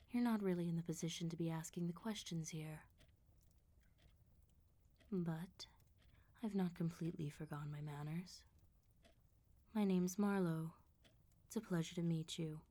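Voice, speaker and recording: A woman voicing a suave, menacing female vampire mob boss in an ASMR roleplay, politely teasing and threatening with unhurried control. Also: fairly low pitch, dark tone, natural voice. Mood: cool threatening, then silky, polite menace.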